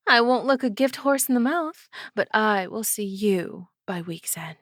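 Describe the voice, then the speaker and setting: Casting: actress as mocking female vampire villain, ASMR drama. Higher-pitched, slow, sly, teasing menace. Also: dry recording, studio quality. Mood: sly, amused. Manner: teasing, sly, drawn-out delivery. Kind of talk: ASMR roleplay audio drama.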